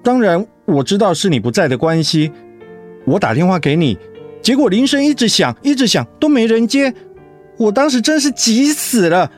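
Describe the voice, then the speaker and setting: An actor in a Mandarin radio drama playing a devoted, protective young husband who speaks in a tender, coaxing, sincere way. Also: male voice, high-pitched, very animated, moderate pace, soft background music. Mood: heartfelt.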